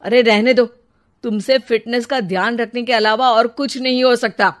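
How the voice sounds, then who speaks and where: A woman playing an irritable young husband who complains bluntly and scolds forcefully, as in a storybook. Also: fairly high pitch, fairly even intonation, moderate pace, close to an everyday voice. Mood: exasperated. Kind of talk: storybook character voice.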